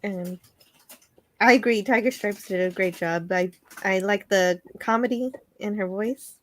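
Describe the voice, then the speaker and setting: Woman, casual online chat, friendly, mid pitch. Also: a little slow. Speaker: co-reader on the show.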